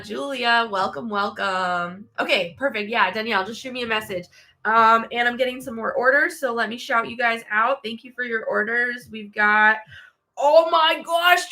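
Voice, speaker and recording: Woman livestream host with a cold, stuffy nose, excitable and chatty, bright tone. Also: on the high side. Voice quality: nasal, stuffy voice. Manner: bubbly, excitable, many fillers. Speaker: livestream host selling merch. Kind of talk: casual livestream chat. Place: home studio.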